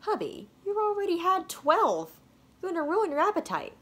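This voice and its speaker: A woman's voice: very high-pitched, very animated, normal speed, fairly bright tone, voice pushed up, full character voice. A woman voicing a concerned, encouraging mother in a children's storybook, speaking emphatically. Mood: scolding. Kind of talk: children's storybook read-aloud.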